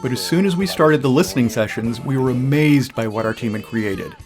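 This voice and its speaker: A man's voice: medium pitch, fairly quick. Man narrating a product film over music. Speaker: loudspeaker company representative presenting products. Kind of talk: scripted promotional narration. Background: background music with guitar.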